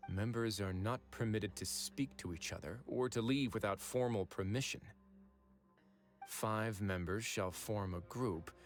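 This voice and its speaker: A man voicing a composed, calculating male warden in a game who speaks in a measured, reflective way. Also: fairly low pitch, natural voice. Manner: measured, reflective, explains reasoning at length. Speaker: game character. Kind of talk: game voice acting. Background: soft game music.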